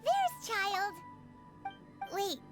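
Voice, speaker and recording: A woman voicing a tiny, talkative, cheeky fairy girl companion in a video game, with a squeaky childlike voice. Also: very animated, drawn-out words, full character voice. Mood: concerned, curious. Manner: refers to self in third person. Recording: loud game music behind the voice.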